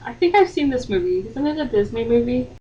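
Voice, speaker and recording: A woman's voice: fairly high pitch, big pitch swings, brisk, dark tone, lo-fi sound, faint music behind. A woman host chatting casually and cutting in with sarcastic commentary, indoors. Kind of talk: casual chat, heckling commentary. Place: sewing room, indoors. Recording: distant mic, background noise.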